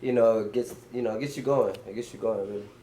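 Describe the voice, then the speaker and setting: Young male guest artist, laid-back slangy chat, lowish warm voice. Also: fairly even intonation, fast, a little hiss or hum. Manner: laid-back slangy talk, lots of "you know what I'm saying". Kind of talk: casual interview chat.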